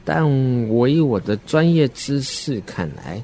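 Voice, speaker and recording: A man playing a calm, matter-of-fact, sinister doctor in a horror radio drama. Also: mid-range voice, unhurried, a little hiss or hum, voice pushed down. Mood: calm, professional.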